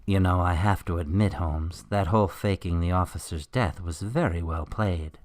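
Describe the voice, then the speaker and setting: A man playing a smug criminal mastermind professor in a radio drama, coolly threatening and taunting in a deliberate, theatrical way. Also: sits low, noisy background, lightly acted. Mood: gloating, taunting.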